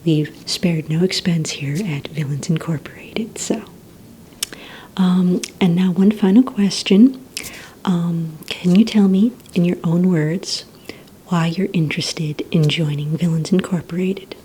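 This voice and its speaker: Soft whispering woman, low and mellow. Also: steady tone, a little hiss or hum. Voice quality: soft, breathy, mostly whispered. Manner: gentle, polite, unhurried customer-service patter. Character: courteous, organized female villain-agency receptionist. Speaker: ASMR roleplay performer as a villain recruitment receptionist. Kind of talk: soft-spoken ASMR roleplay.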